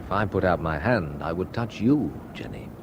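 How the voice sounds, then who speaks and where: A charming young man from London woos his guide with gentle, earnest words. His voice sits in a middle register and moves expressively. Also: sits low, expressive, moderate pace, slight background noise. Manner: charming, wooing. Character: romantic young English visitor. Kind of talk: 1960s radio drama acting.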